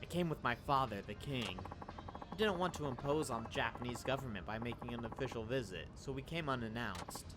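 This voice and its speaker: A man's voice: medium pitch, animated, a little fast, background noise, lightly acted. A man reading aloud the lines of a haughty young woman who claims to be a runaway princess, in formal, stiff phrasing, over game music.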